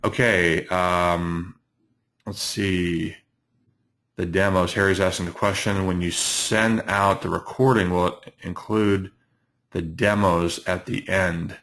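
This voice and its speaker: Very deep, slightly hoarse middle-aged man. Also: steady tone, a bit bright. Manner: halting, many fillers and restarts. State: hoarse from allergies. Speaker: trading educator, webinar host. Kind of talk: live webinar presentation.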